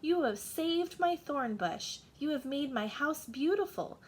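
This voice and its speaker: A woman's voice: sits high, animated, measured pace, slight background noise, pitched above the performer's own voice. A woman voicing a sentimental old lady in a children's storybook, plaintive and emphatic. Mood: grateful. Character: sentimental old lady devoted to her thorn bush.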